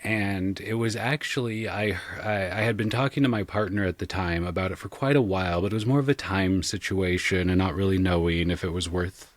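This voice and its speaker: Male interview guest, very low voice, dry sound. Casual storyteller with a wry, self-deprecating manner. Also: brisk, hardly any pauses, no room sound. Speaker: podcast guest, audio drama creator.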